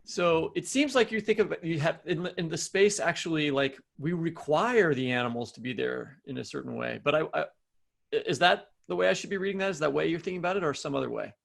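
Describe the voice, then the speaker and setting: A man's voice: fairly high pitch, fairly quick, vocal fry, fairly bright tone. A man co-hosting a podcast, chatting and asking questions in a casual literary interview style.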